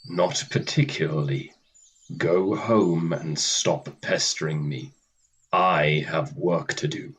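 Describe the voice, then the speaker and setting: Man acting as Death, low, slow and husky. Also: exaggerated voice. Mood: curt, annoyed. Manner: deadpan, curt, formal, precise. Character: Death, weary, stern, gentlemanly and easily irritated. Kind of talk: radio play acting.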